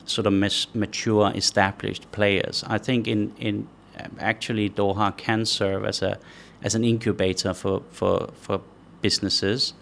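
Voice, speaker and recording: Uomo danese dalla voce bassa e ruvida, ospite di un'intervista, parla in modo misurato e riflessivo. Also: male voice, level tone, vocal fry, fairly bright tone, a little hiss or hum. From Denmark. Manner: measured, thinks aloud with frequent restarts. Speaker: guest, company founder. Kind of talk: conversational interview answers.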